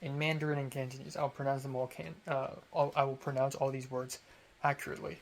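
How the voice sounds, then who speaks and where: A man's voice: medium pitch, flat delivery, fast talker. A young man, a college student, telling a rambling, self-amused story in a casual vlog. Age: young adult.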